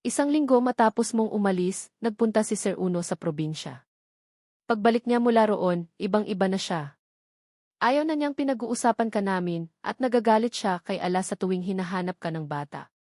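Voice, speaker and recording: A woman playing a kindly female household helper in an audiobook, gently explaining, with a Filipino accent. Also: medium pitch, animated, normal speed, no echo, clean studio sound, lightly acted. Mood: sympathetic, explaining. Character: sympathetic older-sister household helper, woman.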